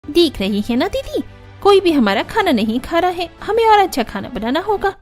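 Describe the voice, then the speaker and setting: A woman's voice: extremely high pitch, big pitch swings, normal speed, faint music behind, pitched above the performer's own voice. A woman voicing a jealous, bossy elder sister-in-law in a cartoon family drama, snippy and complaining. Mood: indignant.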